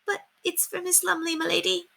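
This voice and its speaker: A woman voicing an anxious, squeaky housemaid in a children's storybook, meek, hesitant and deferential. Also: little pitch movement, a little fast, gravelly, bright, forceful tone, full character voice. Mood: timid. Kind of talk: children's storybook read aloud.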